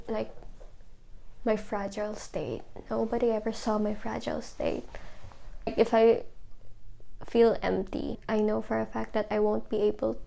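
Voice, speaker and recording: A young woman from the Philippines speaking softly and hesitantly in a reflective documentary interview, with many fillers. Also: fairly high pitch, creaky.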